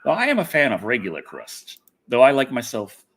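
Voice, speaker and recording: A man's voice: mid-range voice, huge pitch swings, fairly quick, fairly bright tone, husky. A man hosting a casual livestream, chatting off the cuff in a rambling way and often repeating his phrases. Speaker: internet personality, livestream host. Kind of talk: casual livestream chat, answering viewers.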